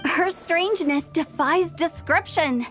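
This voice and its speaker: A woman's voice: very high voice, takes it slowly, soft background music. A woman voicing an inquisitive young girl in a fantasy video game, with a light, articulate delivery. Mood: probing, suspicious.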